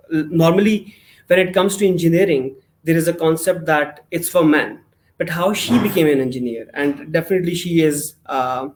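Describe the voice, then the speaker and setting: A man hosting a podcast interview. His voice sits somewhat high for a man. He asks long questions in a halting way, restarting as he goes. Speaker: podcast host.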